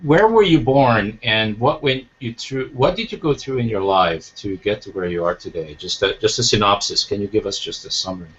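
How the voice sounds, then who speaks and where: A man's voice: lowish voice, normal speed, hardly any pauses, a bit bright. A man hosting a talk show as a coach, speaking reflectively, asking rhetorical questions, and restarting his sentences. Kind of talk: interview conversation. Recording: dull lo-fi recording.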